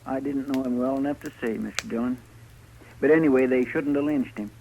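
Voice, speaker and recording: An actor playing an earnest, deferential marshal's sidekick with a homespun, rambling manner, 1950s radio style. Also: male voice, mid-range voice, fairly even intonation, fairly quick, creaky, dark tone. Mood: folksy. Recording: faintly noisy old radio recording.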